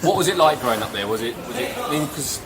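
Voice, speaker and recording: Lively, jokey male podcast host. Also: medium pitch, quick pace, over a noisy crowd. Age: 40s. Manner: inquisitive and jokey. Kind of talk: casual interview chat. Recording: noisy ambient background.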